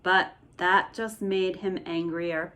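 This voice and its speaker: A woman reading a children's picture book aloud as an expressive bedtime story. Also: fairly low pitch, slow.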